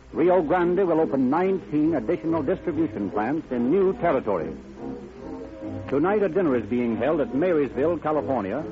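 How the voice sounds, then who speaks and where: An American man as a 1930s radio announcer, reading advertising copy with emphatic, formal salesmanship. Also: on the high side. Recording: tinny 1930s radio, music behind.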